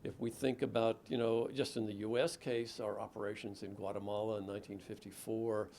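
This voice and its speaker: A middle-aged man speaks as an author fielding audience questions. His voice carries a gravelly edge. He talks in a measured, reflective way and restarts his sentences often. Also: little pitch movement, a little mellow, a little hiss or hum. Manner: measured, thoughtful, with frequent restarts and low murmured "mm-hmm" while listening. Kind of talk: lecture Q&A answers.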